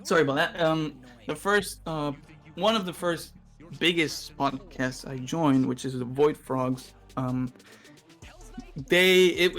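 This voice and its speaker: Man, native Spanish speaker, hesitant speech, lots of uh fillers, mid-pitched voice, faint music behind. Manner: hesitant, many filler sounds, laughs often. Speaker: podcast guest. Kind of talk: casual chat.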